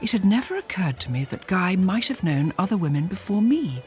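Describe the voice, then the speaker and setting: A woman's voice: mid-range voice, big pitch swings, brisk, close to an everyday voice. An actress playing a wry, jealous young English wife who narrates her own story with sardonic relish. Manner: sardonic, relishing descriptive words. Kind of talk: first-person dramatised narration. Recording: clear music under narration.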